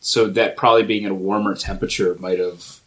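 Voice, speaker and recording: A man guesting on a podcast, talking in halting, hesitant phrases. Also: lowish voice, steady tone, fairly bright tone. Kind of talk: casual podcast chat.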